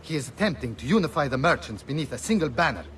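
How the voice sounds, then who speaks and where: An actor playing a courteous, opinionated Venetian guide with an Italian accent and a florid, formal manner in a video game. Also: male voice, fairly high pitch, animated, fast, fairly bright tone, a little hiss or hum. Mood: explanatory, disdainful.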